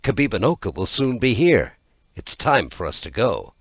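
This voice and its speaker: Man as a worried, deep-voiced fisherman. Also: huge pitch swings, moderate pace, slightly rough, no echo, clean studio sound, heavily acted. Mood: anxious warning. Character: worried fishermen urging a comrade to flee. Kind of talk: storybook character reading.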